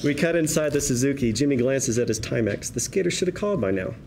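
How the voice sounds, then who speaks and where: A man's voice: medium pitch, expressive, quick pace, vocal fry, a little mellow. A man reading stage directions at a script table read, with a vivid, wry storytelling manner. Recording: table read room with background noise.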